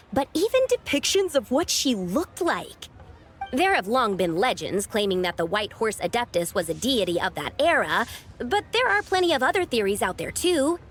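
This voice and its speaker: Game casting: apologetic young lady academic, high register with animated intonation, sincere and nervous. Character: earnest, apologetic, anxious young lady scholar. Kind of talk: video game voice acting. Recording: faint music behind the voice.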